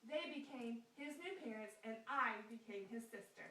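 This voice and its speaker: A woman's voice: a little high, lively intonation, moderate pace, bright, forceful tone. A woman voicing a cheerful, earnest young girl puppet in a scripted puppet skit, explaining things in a sing-song, read-aloud way. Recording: echoey room, mic far off.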